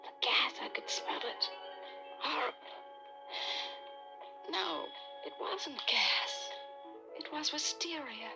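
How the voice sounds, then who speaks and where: An actress playing a jealous, high-strung wife in a vintage radio suspense melodrama, formal and imperious. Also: female voice, lively intonation, slow, gravelly, long pauses, over music, exaggerated voice. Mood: dazed, haunted. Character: haughty, overwrought, jealous wife in her thirties. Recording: vintage radio drama recording.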